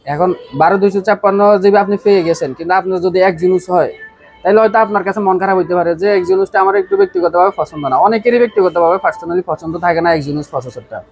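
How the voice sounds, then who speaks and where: A man's voice: high-pitched, fast talker, slightly rough, faint music behind. A man with a Bangladeshi accent selling phones in casual chat, insistently repeating his key points. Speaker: phone seller.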